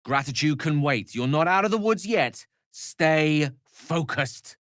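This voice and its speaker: A man's voice: on the high side, animated, slow pace, a bit bright, no echo. A man playing an eloquent, witty and self-assured wizard in a video game, with articulate, florid, wry delivery. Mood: urgent. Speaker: game character voice actor. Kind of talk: theatrical video game character dialogue.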